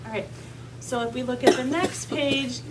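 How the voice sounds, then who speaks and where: A woman's voice: sits high, moderate pace. A woman teaching young children through an interactive read-aloud, coaxing them with simple instructions and questions. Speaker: teacher reading aloud to kindergarteners. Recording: distant mic, noisy room.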